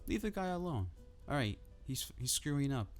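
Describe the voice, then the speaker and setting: A man ranting casually to camera about football in a soft, calm, drowsy way. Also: mid-range voice, moderate pace, faint music behind. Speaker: football vlogger.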